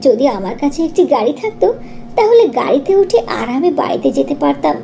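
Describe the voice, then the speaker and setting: A woman acts a humble, kind young village girl in a cartoon. The voice is very high, mellow and full of lively swings, with an earnest manner. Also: moderate pace, creaky, slight background noise, full character voice. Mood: weary wishing.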